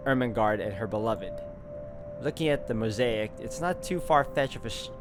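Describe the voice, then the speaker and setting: A man doing a let's play, reading a visual novel aloud and adding casual asides as he thinks aloud. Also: on the low side. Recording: music behind the voice.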